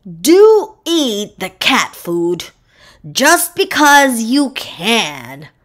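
A woman voicing an earnest, boastful pet dog in a children's picture book, with emphatic, drawn-out delivery. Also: on the high side, big pitch swings, a bit bright, exaggerated voice. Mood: earnest, playful.

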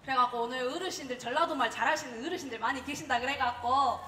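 A woman's voice: high voice, fast. Witty Jeolla woman on a stage. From Gwangju, South Korea. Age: 30s. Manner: witty, animated storytelling. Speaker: contest participant. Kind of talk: humorous prepared speech. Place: event hall. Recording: roomy hall, distant mic, faint noise.

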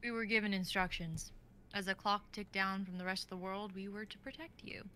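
A woman's voice: medium pitch, fairly quick, pushed and bright, a little hiss or hum, lightly acted. A woman in her twenties playing a blithe, matter-of-fact former pet dog in human form, on home video-call audio. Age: 20s. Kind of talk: amateur dramatic script reading.

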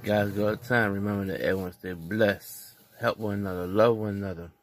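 Earnest low-voiced man vlogging. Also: steady tone, moderate pace, no room sound. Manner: earnest, preachy, repeats himself. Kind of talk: casual opinion vlog.

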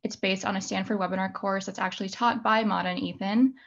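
A woman's voice: mid-range voice, fairly even intonation, a bit bright. Woman moderator, muffled call audio. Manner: brisk, clear with "um" fillers. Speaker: webinar moderator. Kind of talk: housekeeping announcements.

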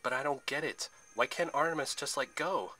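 A man's voice: mid-range voice, normal speed, pushed and bright, voice pushed up, poor audio. A man voicing a plucky, puzzled teenage boy hero in a storybook, blunt and questioning.

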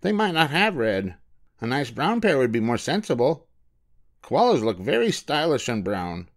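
A man's voice: mid-range voice, very animated, normal speed, pitched above the performer's own voice. A man voicing a sensible mother koala in a storybook, a coaxing parent who is easily exasperated. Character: mother koala, patient but exasperated, cheerfully embarrassing.

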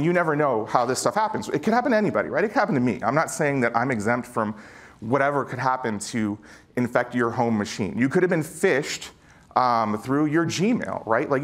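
A middle-aged man giving an informal conference talk on stage, slangy and conversational, often checking in with listeners. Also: mid-range voice. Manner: conversational, slangy, peppered with rhetorical check-ins. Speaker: keynote speaker, former security chief.

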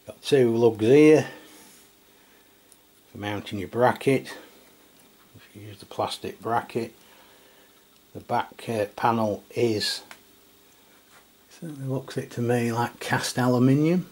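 A man's voice: medium pitch, slow, pauses often, a little mellow. A middle-aged man giving a casual, unscripted product demonstration, thinking aloud in a tentative way. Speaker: radio product reviewer. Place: indoors at a tabletop. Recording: clear background noise, room ambience.

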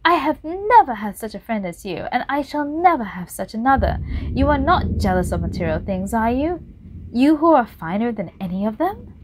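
A woman's voice: on the high side, sing-song, normal speed, background noise, voice pushed up. A woman voicing a devoted male painter who earnestly pleads with his friend. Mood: pleading.

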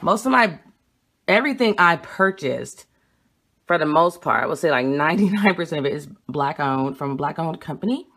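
A young woman vlogging at home with a phone camera, talking in a blunt, emphatic and quick way as she shows books. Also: deep voice, expressive, fairly bright tone. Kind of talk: casual home vlog showing books. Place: small room at home, indoors. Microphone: phone camera held at arm's length.

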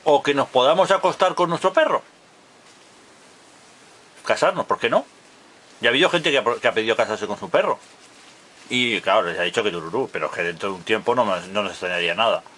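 A man's voice: medium pitch, expressive, brisk, long pauses, pushed and bright, rough recording. A man in his fifties from Barcelona, delivering an emphatic, opinionated monologue to a close webcam and repeating his points insistently. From Barcelona, Spain. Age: 50s. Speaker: video blogger. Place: indoors in front of a blue wall.

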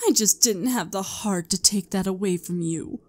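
A woman's voice: medium pitch, normal speed, natural voice. A woman voicing a flippant, amused, immortal pony princess in a fan fiction audio drama. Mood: breezy.

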